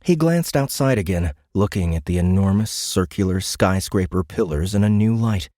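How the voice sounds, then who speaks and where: A man's voice: deep voice, lively intonation, moderate pace, dark tone, no echo, studio quality. A man reading scripted science fiction narration for an audiobook in a dramatic storytelling style.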